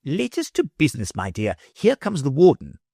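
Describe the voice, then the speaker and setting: A man's voice: fairly high pitch, wildly swooping pitch, normal speed, vocal fry, dark tone, no echo, clean studio sound, pitched above the performer's own voice, full character voice. A man reading aloud, voicing a cunning middle-aged official who growls and schemes. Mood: sly. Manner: growling, sly.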